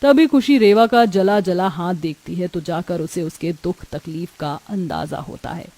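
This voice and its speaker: A woman's voice: on the low side, measured pace, mellow. A woman storyteller delivering scripted narration for a moral tale.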